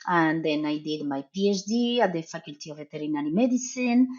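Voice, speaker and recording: A middle-aged woman from Argentina with a Spanish accent, a veterinary professor explaining her research in a podcast interview, measured and pausing often. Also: low-pitched, darkish tone, highs cut off. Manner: measured, explanatory, with frequent "uh" pauses.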